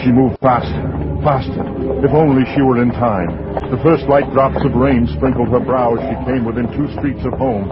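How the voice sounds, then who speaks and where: An actor playing a grave narrator, delivering solemn, tense 1940s radio suspense narration. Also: male voice, mid-range voice, steady tone, moderate pace, lightly acted. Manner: dramatic, solemn, building tension. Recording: muffled 1940s radio with loud music.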